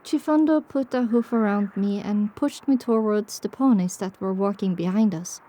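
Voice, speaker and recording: A woman's voice: medium pitch, normal speed, dark tone, natural voice, rough recording. A woman playing a reflective pony survivor, narrating her own story in a dramatic audiobook style. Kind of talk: dramatic first-person scripted narration.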